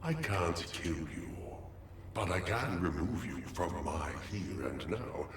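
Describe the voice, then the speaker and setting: An actor playing a solemn old master of time in a video game, speaking in a measured, oracular way. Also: male voice, deep voice, very animated, exaggerated voice. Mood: calm, foreboding. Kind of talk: scripted video game dialogue. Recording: echoing voice over noisy game ambience.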